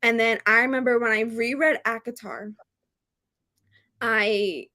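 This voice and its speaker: A woman hosting a book readalong, chatting casually and excitedly, with an emphatic, gushing way of repeating herself. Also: on the high side, unhurried. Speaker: host of a book readalong live stream.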